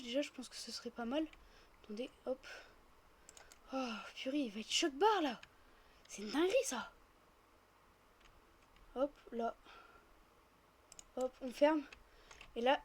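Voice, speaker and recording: A female speaker, casually improvising a roleplay while gaming and chattily narrating each action. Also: high voice. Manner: chatty, narrates own actions as they happen. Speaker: host of gaming roleplay videos.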